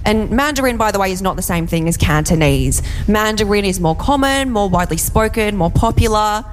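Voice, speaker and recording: A woman performing a stand-up comedy set, telling a story in a dry, deadpan way. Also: medium pitch, fairly quick, big-room sound, slight background noise. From Australia.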